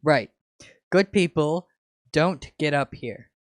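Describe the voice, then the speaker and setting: A man's voice: on the high side, expressive, slow pace, no room sound, clean studio sound. A man co-hosting an informal fan fiction reading, mixing casual chat with amateur reading aloud.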